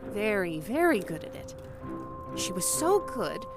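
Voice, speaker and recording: A woman's voice: mid-range voice, very animated, measured pace. A woman acting in an audio drama as a terrified investigator who anxiously stammers her way through a story. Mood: nervous, halting. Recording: music behind the voice.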